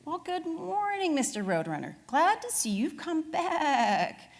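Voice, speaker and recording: A woman's voice: high voice, very animated, measured pace, creaky, voice pushed up, full character voice. A woman voicing a friendly, cheerful sheep mascot in a children's storybook, polite and upbeat.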